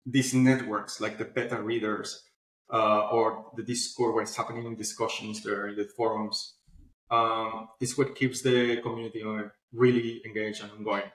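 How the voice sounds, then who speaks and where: A man's voice: fairly low pitch, little pitch movement, normal speed, a bit bright. A man being interviewed on a video call, explaining his platform informally with everyday examples. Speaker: founder of a publishing platform, podcast guest. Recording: roomy echo, online video call.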